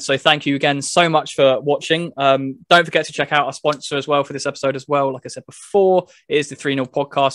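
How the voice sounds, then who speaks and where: A man's voice: mid-range voice, a bit bright, no echo, studio quality. A man hosting a casual football game review, talking in a loose, rambling, hesitant way. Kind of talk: casual chat.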